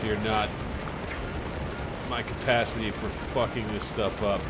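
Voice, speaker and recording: A man hosting a casual multiplayer gameplay session, relaxed and joking, often muttering quietly to himself. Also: on the low side, unhurried. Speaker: channel host. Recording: noisy game audio behind.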